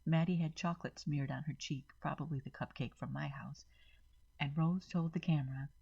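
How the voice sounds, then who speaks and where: A woman narrates a dramatic first-person story. Her voice is very low and distinctly husky. The recording is slightly dull and lo-fi. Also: fairly even intonation, moderate pace, dark tone.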